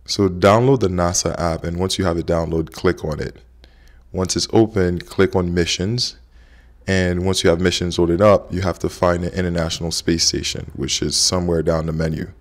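A man's voice: deep voice, steady tone, moderate pace. A man in his thirties from Haiti, hosting a casual explainer vlog in a conversational way. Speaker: host, app co-founder.

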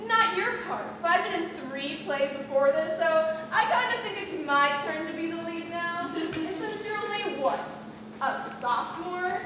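Entitled high school diva played by a teenage girl, sugary then demanding, live stage comedy in a noisy, reverberant hall. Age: teens. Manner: falsely sweet, then loudly demanding. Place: large theater stage, filmed from the audience. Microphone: far camera. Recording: distant, echoey auditorium, noisy.

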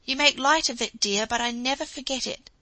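A woman's voice: sits high, a little fast, pushed and bright, no room sound, voice pushed up, low-quality recording. A woman voicing an affectionate middle-aged mother who talks in an eager, gushing way.

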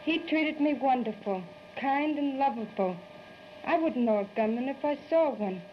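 High-voiced young woman, vintage newsreel. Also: animated, normal speed. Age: young adult. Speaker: stage performer being interviewed. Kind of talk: stiff, rehearsed newsreel interview answers. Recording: muffled noisy 1930s newsreel soundtrack.

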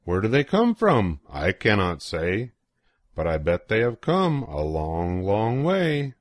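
An older man reading a children's picture book aloud in an expressive, sing-song way with deliberate pauses. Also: on the low side, dry recording, clean studio sound. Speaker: storybook reader.

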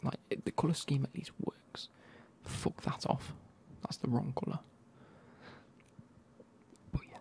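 Whispering man with a gravelly edge. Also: mid-range voice, measured pace, long pauses. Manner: soft whispering, wry asides. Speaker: football kit reviewer. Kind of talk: whispered casual review.

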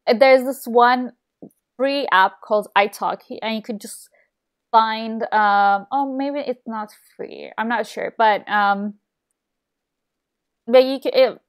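A young woman from Turkey chatting casually while streaming a game, rambling with lots of fillers and restarts, recorded at a desk mic in a small room at home. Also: mid-range voice. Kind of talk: casual chat while gaming.

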